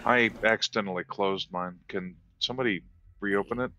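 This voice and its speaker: A man's voice: lowish voice, normal speed, pushed and bright. A man playing an online tabletop game, talking casually and hesitantly as he reads things out. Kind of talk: casual game chat, reading out screen text. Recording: dull online voice chat.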